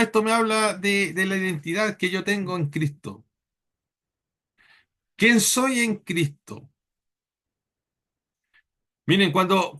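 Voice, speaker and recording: A man from Chile, preaching a sermon in church in an earnest, halting way with many repeated words. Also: sits high, bright, forceful tone.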